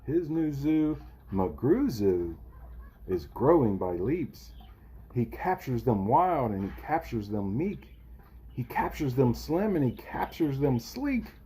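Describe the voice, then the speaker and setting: A man reading a rhyming children's picture book aloud in an expressive, theatrical, playful way, as for a kindergarten class. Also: medium pitch, slightly rough. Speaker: storybook reader for kindergarten children.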